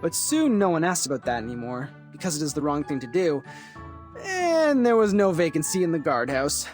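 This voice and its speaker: Animated, theatrical young man. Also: fairly high pitch, normal speed, soft background music. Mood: playful, teasing. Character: chatty, theatrical young man, eager travel-loving colleague. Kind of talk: video game voice acting.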